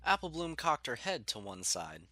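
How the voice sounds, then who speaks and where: A man's voice: medium pitch, sing-song, moderate pace, pushed and bright, no echo, studio quality. A man reading scripted story narration as the narrator of an audiobook.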